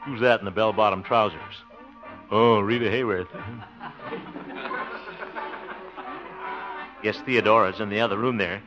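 A man's voice: on the low side, sing-song, slow pace, pauses often, over music. An actor playing a blustery, exasperated, good-hearted middle-aged man, grumbling and exclaiming in 1950s radio comedy style. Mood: curious, flustered. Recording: dull old radio recording.

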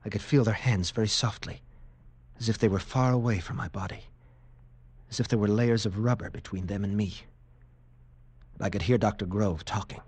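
A man's voice: fairly low pitch, fairly even intonation, normal speed, long pauses, a little mellow, husky. A man acting in a 1940s radio drama as a tough lieutenant recounting a frightening ordeal in an earnest, intense way. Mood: earnest, unsettled. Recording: noisy vintage radio recording.

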